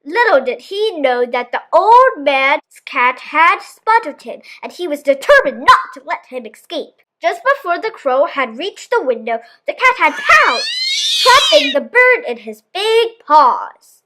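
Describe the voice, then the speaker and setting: A woman storyteller reading a children's story aloud in an expressive, theatrical manner. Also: very high voice, slow.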